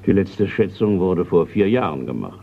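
An actor playing a courteous older retired colonel in a German radio crime play, measured and a little hesitant. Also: male voice, sits low, big pitch swings, vocal fry, full character voice. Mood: businesslike, courteous. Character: retired colonel, older male art collector, courteous Scottish host. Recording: dull, noisy old radio recording.

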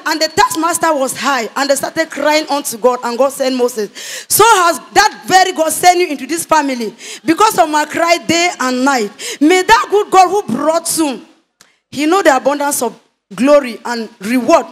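A woman's voice: high-pitched, animated, moderate pace. An older woman giving a fervent testimony in a preaching cadence with biblical phrasing, into a handheld microphone in a large church hall.

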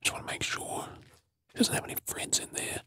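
An Australian man playing a gruff, wary zombie apocalypse survivor in a whispered ASMR role play, terse, urgent and husky. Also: pushed and bright. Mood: tense, hushed. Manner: terse, urgent, slow clipped phrases.

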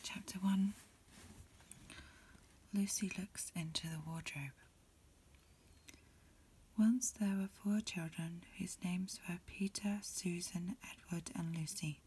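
Breathy whispering woman, ASMR reading. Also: fairly low pitch, fairly even intonation. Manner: soft, unhurried, phrase-by-phrase reading. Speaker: amateur reader. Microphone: lo-fi home recording.

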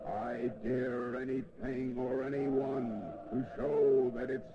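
An actor playing a boastful, blustering wind who taunts with gleeful scorn in a drawn-out, theatrical howl for a children's fable. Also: male voice, mid-range voice, steady tone, creaky. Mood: boastful, challenging. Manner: drawn-out, howling, taunting delivery. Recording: noisy old 16mm educational film soundtrack.

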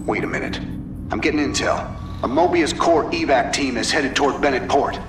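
An actor playing a gruff, weary rebel commander in a video game, plain-spoken and wry, with a Southern US accent. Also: male voice, medium pitch, normal speed, lightly acted. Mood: wry, businesslike. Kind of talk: video game cutscene acting. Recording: roomy, distant, music underneath.

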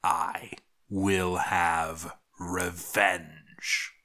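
A man performs a dead villain who has sold his soul to demons and wants revenge. The delivery is low, very slow and menacing, dropping to a hush, with a sharp edge in this dark fantasy tale. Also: flat delivery, full character voice. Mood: brooding, vengeful.

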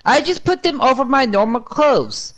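A woman voicing an excitable, boastful male skeleton in a cartoon comic dub. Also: sits high, moderate pace. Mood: proud.

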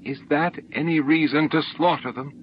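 A man's voice: mid-range voice, normal speed, slight background noise, voice pushed up, close to an everyday voice. A man voicing an emotional, accusing female alien in an audiobook. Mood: accusing fury. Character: anguished, furious female alien with a shell. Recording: dull lo-fi audiobook tape recording.

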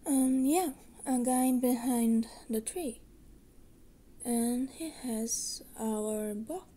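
A woman playing a hesitant, wry resurrected witch in an ASMR roleplay, with a soft, breathy voice. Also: on the high side, extremely slow.

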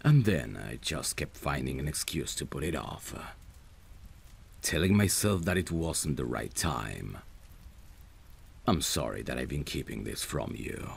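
A man playing a protective, lovesick friend in a romantic ASMR roleplay, speaking tenderly and intimately in a husky, gravelly voice. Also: extremely low pitch, lively intonation, heavily acted. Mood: earnest, lovesick. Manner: tender, soothing, unhurried. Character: protective lovesick male friend, gentle and devoted.

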